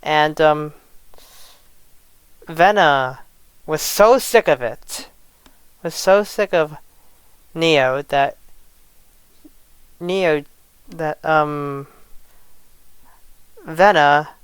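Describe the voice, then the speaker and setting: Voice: mid-range voice, very slow, pauses often, clean tone, no room sound, poor audio. An online creator of unclear sex delivering an unscripted, exasperated rant, often restarting and repeating phrases. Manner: indignant, exasperated. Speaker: online video creator venting grievances.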